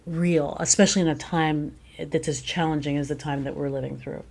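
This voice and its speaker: Lower-voiced woman in reflective interview talk. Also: steady tone, a little fast, vocal fry, darkish tone. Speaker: guest playwright discussing their work; teacher.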